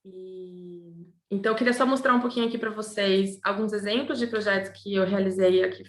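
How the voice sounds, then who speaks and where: A woman's voice: medium pitch, fairly even intonation, a little fast. A woman from Brazil, an architect, talking casually and thoughtfully with many fillers and restarts. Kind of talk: casual recorded talk to students. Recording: echoey room.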